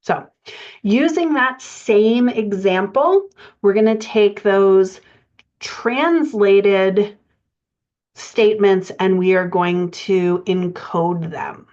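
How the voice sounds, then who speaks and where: A woman coaching writers in an explanatory lecture style, speaking haltingly with pauses as she thinks through her points. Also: medium pitch, a little mellow. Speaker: writing coach presenting a podcast lesson. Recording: dull, band-limited audio.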